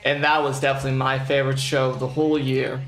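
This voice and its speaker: A man's voice: medium pitch, level tone, fast talker, a bit bright. A young man in a band chiming in with short, joking remarks during a casual update. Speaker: band member. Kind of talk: casual chat. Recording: echoey room with faint music.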